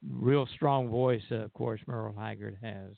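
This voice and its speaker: A man's voice: mid-range voice, moderate pace, gravelly, dry recording. A man co-hosting a radio show, chatting casually and informally about the programme. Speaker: radio show co-host and producer. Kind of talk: casual chat. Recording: dry phone-like internet radio line.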